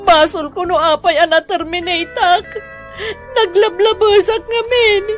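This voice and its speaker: Slow, plaintive Ilocano wife. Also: female voice, very high-pitched, steady tone, soft background music. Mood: tearful. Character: apologetic, plaintive wife who has returned home. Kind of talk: Ilocano radio drama acting.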